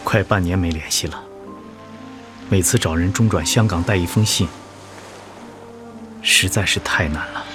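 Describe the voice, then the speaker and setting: An actor playing a lonely, homesick married man in exile in a radio drama, gentle and conflicted, with a hesitant manner. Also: deep voice, moderate pace, long pauses, lightly acted. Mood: melancholy, gentle. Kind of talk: emotional radio drama acting. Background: music.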